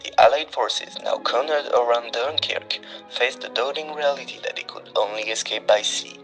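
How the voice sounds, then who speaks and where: A man's voice: medium pitch, normal speed, fairly bright tone, low-quality recording. A man delivers scripted documentary narration as a newsreel reader. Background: background music.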